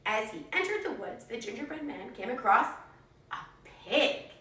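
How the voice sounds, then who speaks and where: A woman telling a story to young children in an animated storytelling style. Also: on the high side, normal speed. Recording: echoey room, distant microphone.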